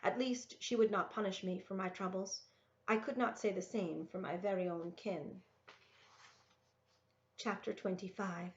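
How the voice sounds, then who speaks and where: A woman reading a story aloud in an expressive storybook narration style. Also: mid-range voice, unhurried, pauses often, slight background noise. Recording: roomy, echoey, dull lo-fi sound.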